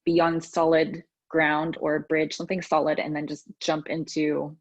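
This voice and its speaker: A woman from Texas hosting a podcast, talking in a relaxed, chatty way with frequent ums and restarts. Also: low-pitched, moderate pace. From United States. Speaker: podcast host. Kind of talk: casual conversational interview. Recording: dull lo-fi audio.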